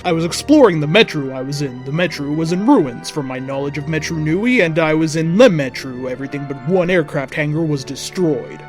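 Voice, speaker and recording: Theatrical man with higher-pitched voice reading stories. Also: brisk, few pauses, soft background music. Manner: theatrical, expressive. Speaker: story reader. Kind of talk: dramatic narration of fan fiction.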